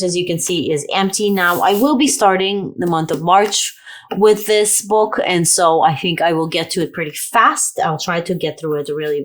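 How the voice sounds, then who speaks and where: Chatty woman with a cold. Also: on the low side. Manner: chatty, rambling, many fillers and restarts. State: has a cold, sniffly. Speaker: book vlogger. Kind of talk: casual video chat.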